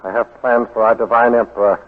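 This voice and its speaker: An actor playing a cold, imperious Japanese baron in a 1940s radio drama, speaking formally and gravely. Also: male voice, medium pitch, fairly even intonation, fast talker, close to an everyday voice. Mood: solemn, resigned. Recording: hollow, lo-fi 1940s radio recording with long reverb.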